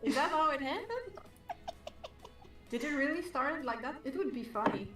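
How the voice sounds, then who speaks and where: A woman artist whose first language is not English, chatting and teasing in a relaxed way on a stream. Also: high-pitched, normal speed, reverberant, far from the mic, loud music behind the voice. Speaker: artist, co-host. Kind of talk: casual chat on a livestream.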